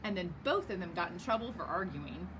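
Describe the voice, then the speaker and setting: A woman reading a children's story aloud in a very expressive, emphatic narrating style. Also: lowish voice, brisk, background noise. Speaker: storyteller reading a picture book.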